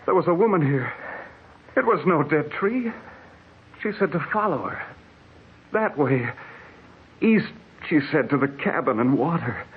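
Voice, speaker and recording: Casting: weakened, desperate desert wanderer, higher-pitched, pleading, old radio horror play with noisy sound. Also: male voice, a little slow, pauses often, phone-quality sound. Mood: pleading, insistent. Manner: pleading, excitable delivery. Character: weak, thirst-crazed male desert traveler, desperate and insistent. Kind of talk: 1940s radio horror acting.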